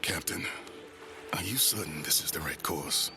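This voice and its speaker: A man playing a gruff but caring pirate first mate, dutiful and measured, in an audio adventure drama. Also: extremely low pitch, over music. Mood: dutiful, doubtful.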